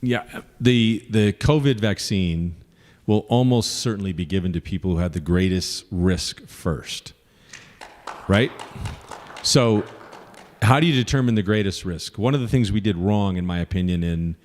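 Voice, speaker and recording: A man's voice: lowish voice, measured pace, a little mellow, long reverb tail, slight background noise. A man running for office, earnestly answering audience questions at a forum and listing his points with frequent fillers. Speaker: congressional candidate.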